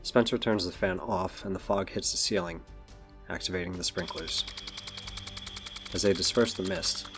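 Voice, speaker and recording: A man with a very low voice narrates a superhero audio drama. He reads scripted action scenes over faint music. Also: fairly even intonation, moderate pace, pauses often, fairly bright tone.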